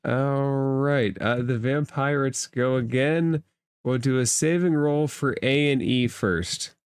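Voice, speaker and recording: A game master narrating a tabletop game in a measured, matter-of-fact way. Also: mid-range voice, clean tone, no echo.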